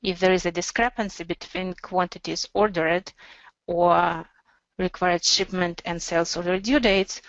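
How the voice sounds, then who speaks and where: A woman narrating an instructional software demo as a webinar presenter, explaining in a measured, step-by-step way. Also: on the low side, steady tone, dry recording, muffled recording.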